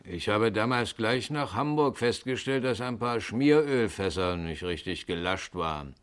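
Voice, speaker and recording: An older sea captain in a vintage 1950s radio play. His voice is very deep. He explains himself in a troubled, hesitant, defensive way. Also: lively intonation, unhurried, clean tone. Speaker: actor in a radio crime play.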